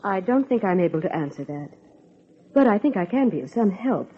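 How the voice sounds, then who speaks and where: An actress playing a proper, reserved social secretary, formal and guarded, in old-time radio drama style. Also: female voice, mid-range voice, very animated, fairly quick, breathy edge. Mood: guarded, polite. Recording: muffled old radio recording.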